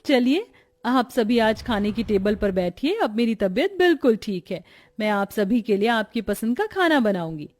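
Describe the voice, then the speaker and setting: A woman's voice: a little high, big pitch swings, normal speed, darkish tone. A woman voicing a dutiful young Indian daughter-in-law in a family story, earnest and polite. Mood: warm, grateful.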